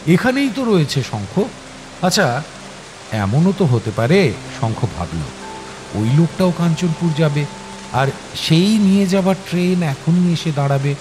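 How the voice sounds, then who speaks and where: Male narrator, slightly high voice, very animated intonation, dramatic suspenseful storytelling, scripted delivery. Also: background noise. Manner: suspenseful, expressive storytelling with acted questions. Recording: music behind the voice. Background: clear background music.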